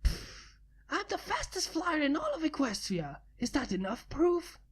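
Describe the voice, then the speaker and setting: A woman voicing a cocky, fast-flying female pegasus who boasts brashly. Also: sits high, huge pitch swings, voice pushed up, full character voice. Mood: boastful.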